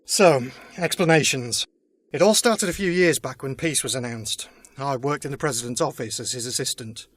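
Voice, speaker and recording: An actor playing an earnest resistance member in an audio drama, explaining things in a measured way. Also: male voice, mid-range voice, dry recording, lightly acted. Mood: earnest, explanatory. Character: earnest male resistance member, former presidential assistant. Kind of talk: full-cast audio drama acting.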